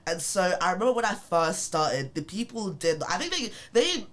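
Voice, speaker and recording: A woman's voice: fairly low pitch, moderate pace. A young woman with a London accent, a podcast guest telling stories in casual chat with lots of fillers and restarts. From born in Botswana, raised in London. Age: young adult. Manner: animated storytelling, many fillers and restarts.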